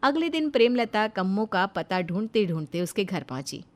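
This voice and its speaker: A woman's voice: mid-range voice, very animated, normal speed. A woman giving scripted narration of a moral story as its storyteller.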